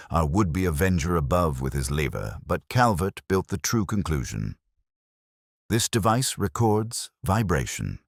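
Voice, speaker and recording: A brilliant detective acted by a male narrator speaks in a very deep, dry-sounding voice. He is grave and coolly analytical, delivering deductions in deliberate, theatrical phrases with wide swings of pitch. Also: dry recording, heavily acted.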